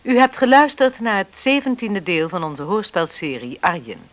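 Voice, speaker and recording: Lady radio announcer reading the end credits of a drama, scripted, lively pitch. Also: normal speed.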